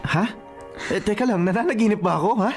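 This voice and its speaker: A man's voice: high voice, fast. An actor with a Filipino accent playing an eager, boyish young man in love in a radio drama, pleading and questioning. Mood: stunned excitement. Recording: music under the voice.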